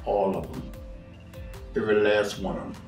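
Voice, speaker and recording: A man's voice: on the low side, fairly even intonation, normal speed, creaky, darkish tone, distant mic, faint music behind. Older man, roomy and off-mic. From Washington, D.C. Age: 60s. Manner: plain-spoken, repeats his points. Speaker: interviewee. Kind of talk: documentary interview. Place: living room on a leather couch.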